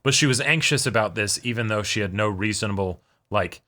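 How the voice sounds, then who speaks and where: Bright-toned man thinking aloud. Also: fairly low pitch, fairly quick. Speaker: co-host reacting to an anime. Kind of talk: casual discussion.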